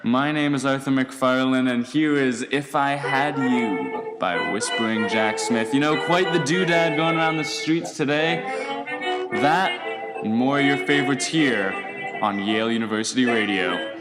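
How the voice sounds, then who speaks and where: A man's voice: medium pitch, unhurried, over loud music, close to an everyday voice. A man playing a chummy, pushy 1920s college radio host with showy, folksy announcer patter. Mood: upbeat, smoothing over. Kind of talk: 1920s radio acting, scripted hosting.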